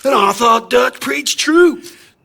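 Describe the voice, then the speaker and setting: An actor playing a sly, menacing older outlaw gang leader in a video game, with a gravelly, theatrical drawl. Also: male voice, very high-pitched, expressive, slow, pushed and bright, full character voice. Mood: taunting menace. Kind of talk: video game cutscene acting.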